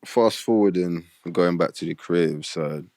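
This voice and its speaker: A man's voice: low voice, a little slow, dry recording, studio quality. A man in his thirties hosting a podcast, asking questions in a playful, joking way. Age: 30s. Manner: playful, animated, joking with the guest. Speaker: podcast host. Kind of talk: casual interview chat.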